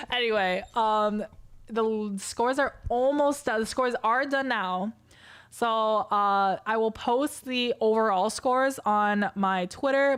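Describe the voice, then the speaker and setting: A young woman casting an esports livestream solo, hoarse from losing her voice, chatty and rambling as she reads out scores and viewer chat. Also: medium pitch, dry recording. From Wisconsin. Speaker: esports caster.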